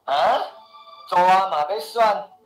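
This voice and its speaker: An actor with a Taiwanese accent playing a skeptical, boastful house seller who grumbles and argues in a radio drama. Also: male voice, high-pitched, takes it slowly. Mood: scoffing. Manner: scoffing, exclamatory, argumentative. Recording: roomy echo with music behind.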